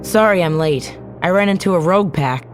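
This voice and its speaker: An actress playing a determined, battle-worn resistance fighter and wife in an audio drama, plain-spoken and earnest. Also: female voice, on the low side, fairly quick, music in the background, lightly acted. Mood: businesslike.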